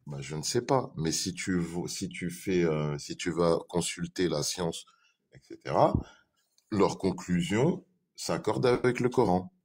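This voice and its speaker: A man's voice: low voice, lively intonation, unhurried, creaky, darkish tone, a little hiss or hum. A man in a live online debate, defending his view hesitantly, repeating himself and reading verses aloud.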